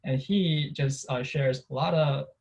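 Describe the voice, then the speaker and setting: A young Korean-born man presents slides over a video call in a relaxed, talky way. His delivery is halting, with many fillers and quick restarts, at a middle pitch. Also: creaky, low-quality recording. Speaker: presenter teaching Korean script to engineers. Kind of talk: casual presentation talk.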